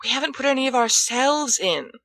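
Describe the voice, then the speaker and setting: A woman reading a storybook as a cautious, patient schoolboy with a hushed, hesitant manner. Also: high voice, animated, a little fast, dry recording, voice pushed up. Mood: realising.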